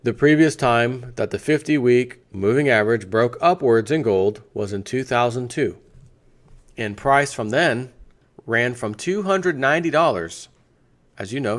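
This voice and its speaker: A man's voice: medium pitch, lively intonation, unhurried. A man hosting a financial news video, reading an article aloud in a conversational way and breaking off for off-the-cuff asides. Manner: conversational, breaks off to add asides and rhetorical questions.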